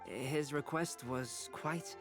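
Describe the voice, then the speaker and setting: A man playing a composed, thoughtful, polite young artist in a video game, measured and articulate. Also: on the high side, over music. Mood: calm, reflective. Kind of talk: video game character acting.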